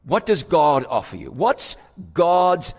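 A male pastor delivers a sermon in a raised, fairly high voice. He stretches key words for emphasis and sinks to a quiet hush at serious moments. A long hall reverb trails each phrase, as from a stage mic in a large sanctuary. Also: very animated. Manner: emphatic preaching with drawn-out key words and sudden hushed drops.

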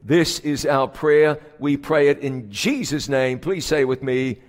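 A man preaching a sermon as pastor, stretching key words for emphasis and dropping to a hushed tone at solemn moments. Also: medium pitch, a little slow. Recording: long hall reverb.

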